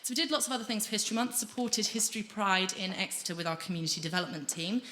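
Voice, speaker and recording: A woman's voice: medium pitch, steady tone, racing, sharp tone, slight background noise. A young woman giving an earnest, emphatic conference presentation at a lectern microphone. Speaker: conference speaker, schools anti-homophobia worker. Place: auditorium stage at a lectern, indoors. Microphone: gooseneck lectern microphone.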